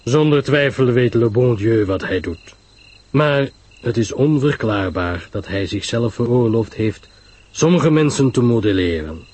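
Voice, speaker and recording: Male reader as grave detective, curt, stern, French interjections, husky, animated intonation, mystery story. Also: medium pitch, a little slow. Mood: weary. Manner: short and sharp, French interjections.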